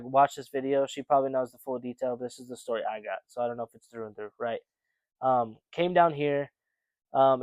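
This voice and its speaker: Rambling young man, smooth dry voice. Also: medium pitch, no room sound. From United States. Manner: rambling, many fillers and restarts. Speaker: podcast co-host. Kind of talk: casual chat.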